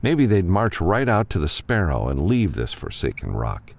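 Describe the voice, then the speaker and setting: Audiobook narrator: man with a deep, animated delivery for dramatic action fiction. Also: moderate pace. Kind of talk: scripted dramatic narration of an adventure novel.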